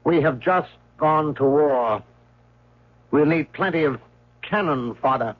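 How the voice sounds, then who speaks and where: A man's voice: on the high side, takes it slowly. An actor playing a scheming, domineering male guardian with a clipped, imperious manner, in 1970s radio drama style. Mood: cold, menacing. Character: scheming, domineering male guardian, coldly threatening, self-serving. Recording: muffled old radio broadcast.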